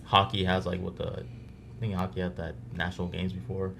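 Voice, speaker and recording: A man with a low voice talks in an easygoing, questioning way on a casual sports podcast. A faint noise sits under the recording. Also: moderate pace, creaky. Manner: relaxed, questioning, laughs easily. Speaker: podcast co-host.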